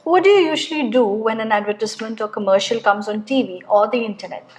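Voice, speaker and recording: A woman conducts a formal speaking test, reading her questions in a measured, scripted way. Her voice sits a little high. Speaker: examiner.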